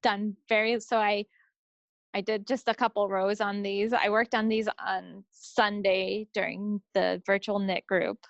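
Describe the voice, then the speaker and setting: A woman's voice: mid-range voice, flat delivery, measured pace, vocal fry, no room sound, muffled recording. A woman co-hosting a craft podcast, chatting casually with easygoing, hesitant phrasing. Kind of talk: casual chat about projects. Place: recorded at own end of a remote video call.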